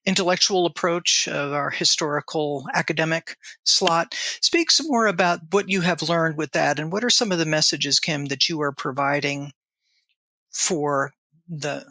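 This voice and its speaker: A woman's voice: deep voice, fairly even intonation, measured pace, pushed and bright, dry recording. A woman hosting an interview podcast, asking long, winding questions full of fillers. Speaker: podcast host.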